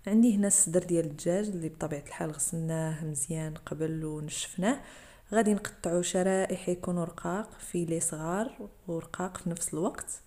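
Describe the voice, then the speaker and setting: A woman's voice: lowish voice, quick pace, warm tone. A woman with a Moroccan Arabic accent, narrating a cooking tutorial over her video and explaining each step to the viewers. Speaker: cooking channel host.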